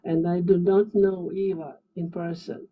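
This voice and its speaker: A woman from Croatia speaking on a video call, slow and friendly, often laughing between phrases. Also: fairly low pitch, flat delivery. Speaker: meeting participant. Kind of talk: casual video call talk. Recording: dull lo-fi video call audio.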